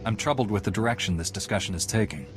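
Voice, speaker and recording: A man playing a calm, noble Jedi in a video game, with a composed and formal manner. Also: on the low side, quick pace, over music, close to an everyday voice. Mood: calm, grave. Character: calm noble male Jedi warrior, measured and compassionate.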